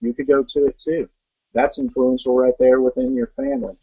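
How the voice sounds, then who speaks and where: A man's voice: mid-range voice, sing-song, fairly quick, gravelly, a little hiss or hum. A young man giving an earnest motivational talk and driving his points home with rhetorical questions. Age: young adult. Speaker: network marketing guest. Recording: dull muffled sound.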